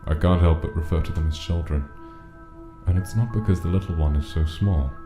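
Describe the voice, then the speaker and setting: A man playing a kindly, patient old monster king, speaking gently and reassuringly in a comic dub. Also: low-pitched, a little fast, close to an everyday voice. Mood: fatherly, gentle. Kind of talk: comic dub acting with reflective narration. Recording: roomy, clear music underneath.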